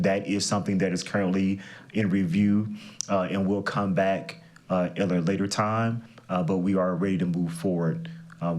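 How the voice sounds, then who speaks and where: Casting note: county administrator, man with a very low voice, measured formal briefing. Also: darkish tone. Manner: measured, with many "uh" fillers.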